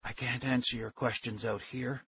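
A man voicing a small, secretive old scientist with a thin, breathy, hushed voice and a measured, earnest way of talking. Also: medium pitch, flat delivery, muffled recording, full character voice. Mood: hushed, insistent.

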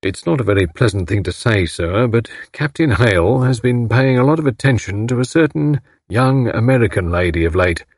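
A man voicing a solemn police inspector in an audiobook, speaking in a courteous, firm, measured and official way. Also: medium pitch, expressive, dry recording, clean studio sound. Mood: firm, explanatory. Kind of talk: audiobook character acting.

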